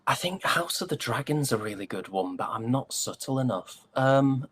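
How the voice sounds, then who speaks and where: A man's voice: medium pitch, lively intonation, moderate pace, a bit bright, a little hiss or hum. A man with a British accent chatting casually as an interview guest, hesitant and jokingly self-deprecating. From United Kingdom.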